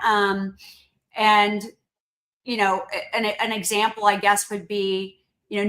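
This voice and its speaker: A woman guest speaking as a company chief in a conversational interview, earnest and explanatory, often checking in with a quick tag question. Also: medium pitch, bright, forceful tone. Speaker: company CEO, podcast guest.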